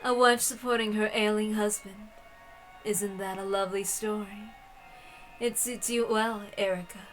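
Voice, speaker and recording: A woman voicing a cruel witch of miracles for a story reading, mock-tender and sneering in a deliberate way. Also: medium pitch, steady tone, faint music behind, pitched below the performer's own voice, close to an everyday voice, low-quality recording. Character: cruel mocking female witch of miracles, smugly sweet.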